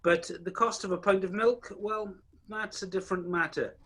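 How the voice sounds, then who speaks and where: A man as a wry storyteller with a husky, slightly high voice. He narrates a radio play theatrically, confiding in the listener as he goes. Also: steady tone, moderate pace, a bit bright. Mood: wry, astonished.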